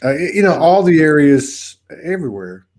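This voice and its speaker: Texan man, podcast host, halting rambling speech, video call audio. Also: on the high side, very animated, a little mellow. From Texas. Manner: rambling, halting, many restarts. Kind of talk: casual spiritual interview chat.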